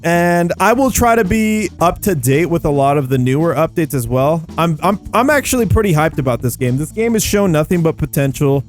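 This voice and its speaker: Excitable higher-voiced man doing game commentary. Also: brisk, hardly any pauses. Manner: excitable, exclaiming, slangy. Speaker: video game YouTuber and host. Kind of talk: casual gameplay commentary. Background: background music.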